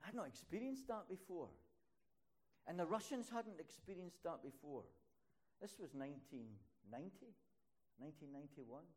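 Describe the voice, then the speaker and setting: An older man preaching a sermon and telling stories from his ministry, climbing to loud, high peaks when he stresses a point. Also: animated, moderate pace.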